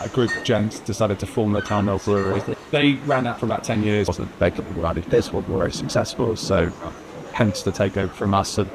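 A man in his thirties with a southern English accent, speaking casually and informally in an interview as a local business owner. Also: on the low side. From Lyme Regis, southern England. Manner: informal, hedging with "kind of" and "you know". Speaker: brewery owner being interviewed. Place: outdoor courtyard by a stone wall. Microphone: lapel mic. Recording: clear outdoor street noise.

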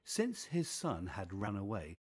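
Slow, expressive husky American storyteller man. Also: lowish voice. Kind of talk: scripted children's storybook narration.

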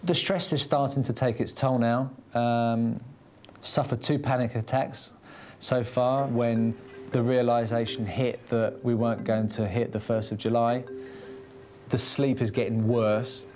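A middle-aged man talks about his work as a property developer in a documentary interview. His voice sits at an average pitch, with music clearly behind it. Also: steady tone, unhurried, darkish tone. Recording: music under the speech. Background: background music.

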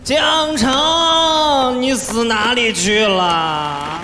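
A male voice actor playing a playful, chatty, feverish young swordsman in a live audio drama, whiny and coaxing with drawn-out words. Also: very high-pitched, background noise, full character voice. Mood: bored, whiny. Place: large theatre stage, indoors. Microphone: handheld microphone. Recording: echoey large hall, music behind.

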